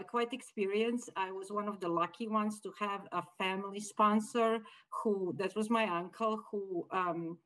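A middle-aged woman from Croatia with a Croatian accent, a Croatian native speaker, recounting her migration story in a measured, hesitant way over a video call. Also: mid-range voice, fairly bright tone, rough recording. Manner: measured, hesitant with many fillers, light laughs. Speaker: panel guest.

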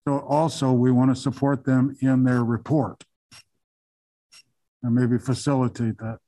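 Male commissioner, mellow dark tone, calm. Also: medium pitch, unhurried.

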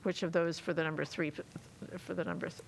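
Hesitant woman with a creaky voice. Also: sits low, fairly even intonation, quick pace, a little hiss or hum. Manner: hesitant, tentative. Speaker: council member. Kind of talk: deliberation in a public meeting.

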